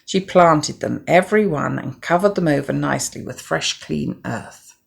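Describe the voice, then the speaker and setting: A middle-aged woman narrating a children's story from a script in a measured, gentle way. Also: deep voice, vocal fry, slightly rough.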